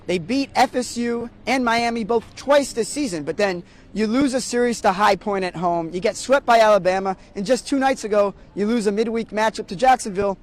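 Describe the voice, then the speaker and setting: A man's voice: sits high, a little fast, few pauses. A young man doing sports broadcast commentary as a play-by-play announcer and reporter. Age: young adult.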